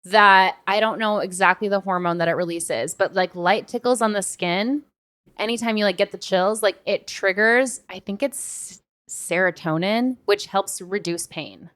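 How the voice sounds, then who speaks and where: Smooth, clear-voiced young woman chatting. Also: mid-range voice, moderate pace, fairly bright tone. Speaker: podcast co-host. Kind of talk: casual conversational podcast chat.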